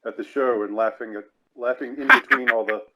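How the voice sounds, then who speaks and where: A man's voice: medium pitch, big pitch swings, brisk, gravelly, sharp tone. A man hosting a podcast discussion, talking casually and hesitantly with many restarts. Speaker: podcast host.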